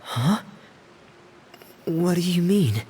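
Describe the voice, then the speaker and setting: Soft, reserved teenage boy. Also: sits high, lively intonation, drawn-out words, slight background noise, full character voice. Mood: downcast. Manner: subdued, hesitant, earnest. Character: quiet, reserved teenage boy orphan, earnest and troubled. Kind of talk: dramatic video game voice acting. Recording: studio voice over game music.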